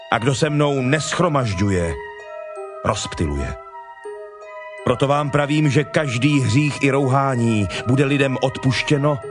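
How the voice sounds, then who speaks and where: Actor as solemn Jesus preaching over music. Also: male voice, mid-range voice, lightly acted. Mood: stern, warning. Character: Jesus, solemn, stern, authoritative preaching teacher. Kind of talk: dramatized Bible reading in Czech. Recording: music playing behind the voice.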